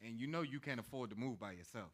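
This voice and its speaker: A man's voice: mid-range voice, expressive, fast, fairly bright tone. A man playing an earnest, persuasive young man in a staged play reading, colloquial and pleading. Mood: earnest, urgent. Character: earnest, persuasive young man urging his sweetheart onward.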